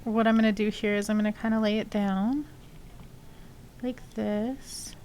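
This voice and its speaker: A woman's voice: medium pitch, steady tone, unhurried, darkish tone, slight background noise. A woman with a sniffly nose chatting casually and rambling with many fillers while explaining her sculpting on a live stream. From not from Texas. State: sniffly from allergies. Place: home studio, lives in Texas.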